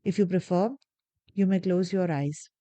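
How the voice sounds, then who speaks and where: A woman guiding a body scan meditation, giving slow, soft, calm instructions with long pauses. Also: fairly low pitch, dry recording. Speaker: meditation guide. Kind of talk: guided meditation.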